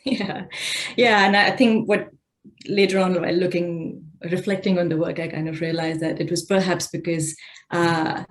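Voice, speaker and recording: A woman with a lowish voice whose first language is Urdu talks through her own artwork. She speaks thoughtfully and haltingly, restarting her sentences often. The sound comes through a video call. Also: fairly even intonation. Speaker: exhibiting artist. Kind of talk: explaining her own artwork in a casual talk.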